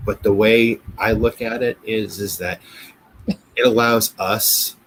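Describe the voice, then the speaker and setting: A man's voice: on the low side, steady tone, slow pace, a bit bright, poor audio. An American man co-hosting a show in casual chat, talking in a hesitant, rambling, conversational way. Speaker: co-host of a business show.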